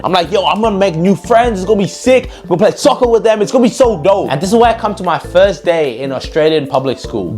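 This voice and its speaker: A young man from Pakistan telling his own life story as a vlogger in a casual, jokey, slangy way. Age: young adult. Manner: animated, jokey, slangy, with swings in pitch.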